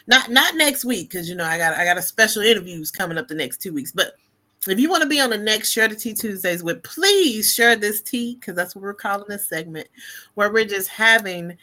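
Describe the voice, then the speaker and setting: A woman's voice: mid-range voice, animated, brisk, pushed and bright. A woman hosting a podcast, chatty and laughing often in casual conversation. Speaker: podcast host. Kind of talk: casual chat.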